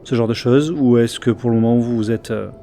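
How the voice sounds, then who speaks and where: A man narrating as game master of a tabletop game, halting with many fillers and restarts. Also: medium pitch, fairly even intonation, mellow, faint music behind.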